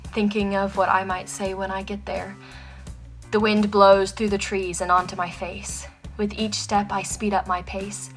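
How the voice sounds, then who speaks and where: A woman reading a poem aloud expressively, moving between emphatic and hushed phrases. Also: mid-range voice, little pitch movement, unhurried, soft background music. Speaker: poetry reader.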